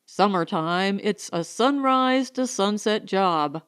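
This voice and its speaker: A woman's voice: mid-range voice, lively intonation, takes it slowly, fairly bright tone, clear, resonant tone. A woman voicing a plain-spoken, uneasy man who farms on a colony world, terse and awkward, in an audiobook. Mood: plain, reserved. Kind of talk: audiobook character dialogue.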